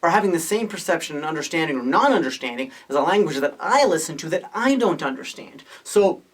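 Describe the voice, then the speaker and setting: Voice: medium pitch, animated, fast talker, slightly rough. Rambling podcast host thinking aloud. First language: Hungarian or English, multilingual. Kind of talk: casual unscripted opinion monologue.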